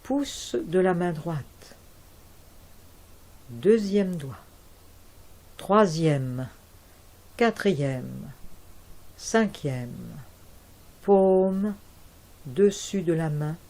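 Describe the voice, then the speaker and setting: A woman leading a guided yoga nidra relaxation as an instructor, giving calm, measured instructions with deliberate pauses. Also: fairly low pitch.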